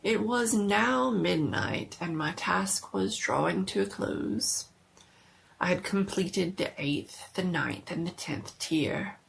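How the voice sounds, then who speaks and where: A woman giving a dramatic reading of a horror story, theatrical and deliberate, often dropping to a hush. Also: on the low side, expressive, creaky, slight background noise.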